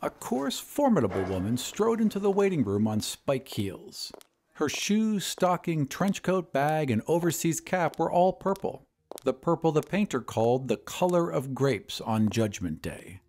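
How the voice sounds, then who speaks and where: A man narrating an audio drama in a measured, expressive way. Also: fairly high pitch, slight background noise.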